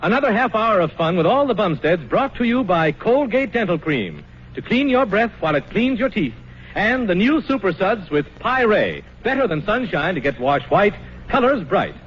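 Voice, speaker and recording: A man's voice: high-pitched, big pitch swings, moderate pace, slightly rough. A man announcing on old radio in a polished, hearty manner, reading scripted copy. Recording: muffled, faintly noisy old radio broadcast.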